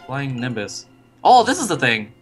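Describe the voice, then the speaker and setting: A man's voice: medium pitch, wildly swooping pitch, moderate pace. A man bantering casually with a friend while playing a video game. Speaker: gaming co-player. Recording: music under the voice. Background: background music.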